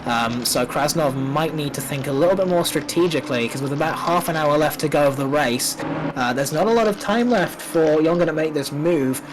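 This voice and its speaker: A man's voice: a little high, fairly quick, noisy background. A man doing live play-by-play commentary on a sim race, slightly nasal from a coming cold, with many fillers and restarts.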